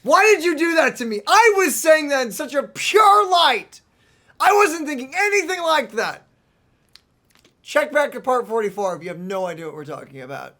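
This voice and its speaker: A woman co-hosting a let's play with casual, teasing, expressive banter and game music in the background. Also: high voice, moderate pace. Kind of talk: casual gaming commentary and banter. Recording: faint game music behind.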